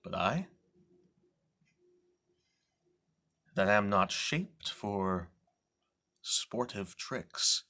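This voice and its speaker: Guy doing a bitter scheming shakespeare villain, theatrical and deliberate, sharp intense tone. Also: on the low side. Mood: brooding, bitter. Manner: dramatic, deliberate, swinging from declaiming to hushed whisper. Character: bitter, scheming deformed villain Duke Richard of Gloucester.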